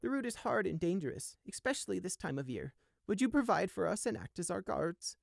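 A man voicing a stubborn old female sheep elder with a wavering, defiant, high voice. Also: very animated, brisk, vocal fry, no room sound, clean studio sound, heavily acted. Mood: wary, pleading. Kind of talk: audiobook character voice.

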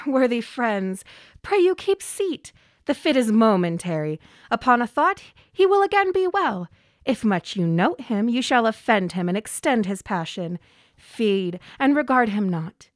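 A woman's voice: on the high side, very animated, unhurried, dry recording, clean studio sound. An actress playing a composed, commanding queen in a Shakespearean radio drama, speaking poised verse. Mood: covering, insistent.

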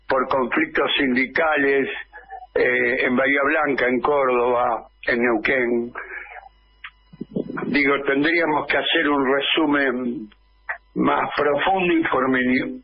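A man in his sixties with an Argentine accent, delivering an emphatic political editorial in a small radio studio with headphones and a boom mic. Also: breathy edge. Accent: Argentine Spanish. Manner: slow, emphatic, insistent, with frequent "eh" fillers. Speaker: radio commentator. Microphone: studio mic on boom arm, wearing headphones. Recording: dull lo-fi radio sound.

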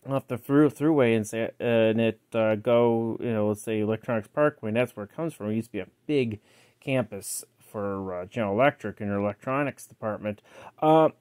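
Halting man in a casual gadget walkthrough. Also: fairly low pitch, a little mellow, smooth, dry recording, studio quality. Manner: halting, rambling, trails off mid-sentence. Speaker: collector showing a yard-sale cassette player. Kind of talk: casual unscripted product walkthrough. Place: indoors, close over a tabletop. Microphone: handheld phone he is filming with.

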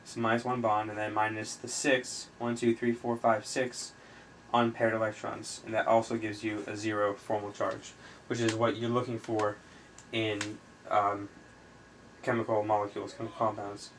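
A man giving an informal chemistry tutorial, explaining step by step in a halting way as he thinks aloud while writing. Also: on the low side, little pitch movement, a bit bright. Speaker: chemistry tutor, video host. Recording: noisy amateur recording with room ambience.

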